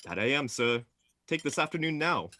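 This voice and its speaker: Man playing chatty village postman, very lively delivery. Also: medium pitch, moderate pace. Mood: cheerful, boastful. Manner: folksy, garrulous, cheerful. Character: chatty, cheeky, self-important village postman Gilly. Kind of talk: radio play acting.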